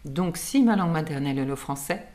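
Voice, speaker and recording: A woman's voice: low voice, animated, brisk, a little mellow. A woman from France, a singing teacher and author, explaining patiently in an interview with everyday examples and light laughs.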